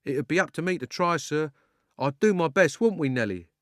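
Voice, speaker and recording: A humble, earnest Cockney cabman from a storybook answers with flustered deference, as a man puts him on. His voice sits higher and louder than the reader's own, recorded dry and close. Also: lively intonation, a little fast, no room sound. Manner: deferential, plain-spoken, slightly flustered. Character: humble, earnest male London cabman, middle-aged. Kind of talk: storybook reading.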